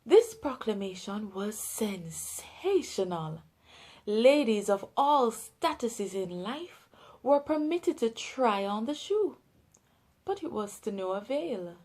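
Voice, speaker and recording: A woman with a Jamaican accent narrating a children's storybook in an expressive, deliberate way. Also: a little high. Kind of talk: scripted storybook read-aloud for young children.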